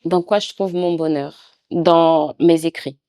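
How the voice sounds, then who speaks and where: A young woman from Cameroon with a Cameroonian French accent, speaking as a guest author in a reflective, halting way. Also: deep voice, steady tone, dry recording, clean studio sound. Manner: reflective, soft-spoken, halting. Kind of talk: intimate podcast conversation.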